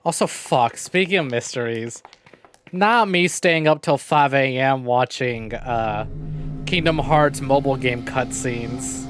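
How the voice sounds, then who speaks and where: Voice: mid-range voice, slow pace. A streamer giving casual, jokey and sweary live commentary while playing a visual novel. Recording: game music behind the voice. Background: video game music.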